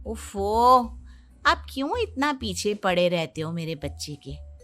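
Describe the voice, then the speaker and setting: A woman's voice: mid-range voice, sing-song, takes it slowly, sharp tone, heavily acted. A woman voicing an indulgent, protective mother in a cartoon story, coaxing and defending her son. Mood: defensive. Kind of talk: Hindi cartoon story acting. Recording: music under the voice.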